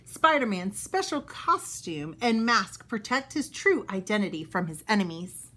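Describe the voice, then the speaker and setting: Animated woman reading a children's book aloud. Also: medium pitch, a little slow. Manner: expressive, with explanatory asides for young listeners. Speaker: read-aloud storyteller.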